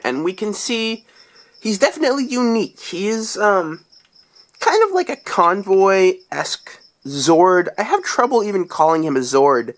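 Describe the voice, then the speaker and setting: A young man who has been sick, his voice deeper than usual, rambling through a casual toy review with lots of "uh"s. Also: a bit bright. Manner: rambling, chatty, lots of fillers.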